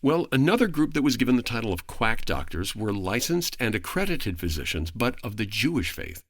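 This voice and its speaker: A man speaking as a podcast guest, giving a prepared, articulate explanation in formal, measured phrasing. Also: mid-range voice, very animated.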